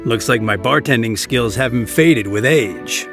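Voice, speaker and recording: A man's voice: mid-range voice, a little slow, lightly acted. An actor voicing a polite, dutiful older male butler in a game cutscene, formal and courteous and coughing now and then. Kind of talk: video game cutscene dialogue. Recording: game audio with background music.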